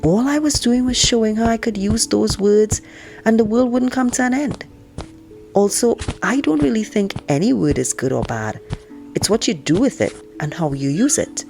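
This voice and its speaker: A woman's voice: mid-range voice, big pitch swings, moderate pace, a little mellow, faint music behind, voice pushed up. A woman reading a storybook aloud as an outspoken, indignant teenage girl, in a frank, conversational and sarcastic manner. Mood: frank, musing. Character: outspoken, indignant teenage girl narrating her family life. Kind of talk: first-person audiobook read-aloud.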